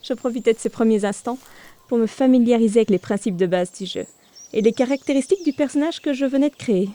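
A woman's voice: fairly high pitch, fast, natural voice. A woman playing a wonderstruck beginner archer, narrating her first steps in a French audio drama. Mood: wonder. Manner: savouring, descriptive, hushed wonder. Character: wonderstruck young female novice archer in virtual world.

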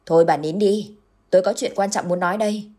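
A woman voicing a gruff, worried village husband who snaps and then speaks gravely. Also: steady tone, a little fast, dark tone, close to an everyday voice. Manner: curt, barking, then grave and low.

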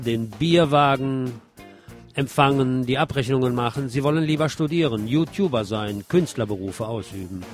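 A male radio host reads scripted commentary and news in a mid-pitched voice. Faint guitar music plays behind him as he presents.

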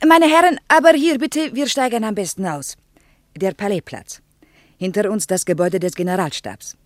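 A woman's voice: mid-range voice, moderate pace, no echo, studio quality, lightly acted. An actress playing a Soviet woman tour guide in her thirties in a radio drama, shy but didactic, with a Russian accent and dropped articles. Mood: eager, brisk. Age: 30s. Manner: earnest, didactic, drops articles.

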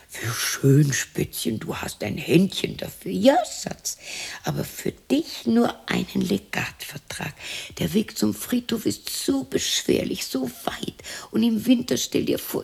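A woman's voice: lowish voice, vocal fry, a little mellow, breathy edge, heavily acted. An actress playing a lonely, cantankerous widow in her sixties in a radio drama monologue, muttering to herself and snapping. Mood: tender, then gloomy. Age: 60s. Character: lonely, cantankerous sixty-year-old German widow talking to herself.

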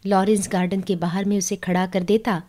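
A woman tells a literary story in the first person as a narrator. Her voice is mid-pitched and very mellow, with a soft dark warmth to the tone. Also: level tone, a little fast.

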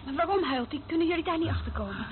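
An actress playing a young, curious, uneasy trainee in a radio play, hesitant and full of earnest questions. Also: female voice, high voice, lively intonation, racing, a little hiss or hum. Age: young adult. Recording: tinny band-limited old radio recording.

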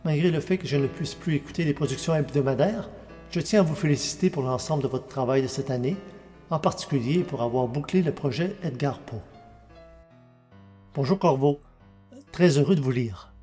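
A man's voice: on the high side, normal speed. A man hosting a podcast, reading letters and replies in a courteous, formal way. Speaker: podcast host reading listener mail. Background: soft guitar music.

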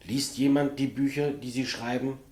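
An actor playing an elderly state executioner, polite, clumsy and matter-of-fact, in 1950s German radio play style. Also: male voice, medium pitch, fairly even intonation, moderate pace, a little mellow, close to an everyday voice. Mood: businesslike probing. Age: older. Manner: deferential, plain, businesslike.

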